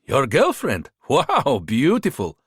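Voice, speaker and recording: A man voicing a smooth-talking male border fixer of about thirty, speaking broken English with a foreign accent, pushy and flattering. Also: mid-range voice, slow pace, dry recording, clean studio sound, pitched above the performer's own voice, heavily acted. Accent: unplaceable foreign accent.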